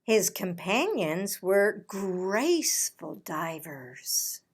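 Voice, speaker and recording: A woman reading a children's picture book aloud as a storyteller, with deliberate, dramatic phrasing. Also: medium pitch, very animated, breathy edge. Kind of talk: expressive children's read-aloud.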